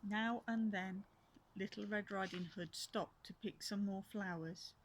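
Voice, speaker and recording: A woman's voice: medium pitch, fairly even intonation, a little slow, low-quality recording. Older woman reading a children's storybook. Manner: gentle asides to young listeners. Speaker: storyteller grandmother. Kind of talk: children's storybook read-aloud. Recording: faint background noise.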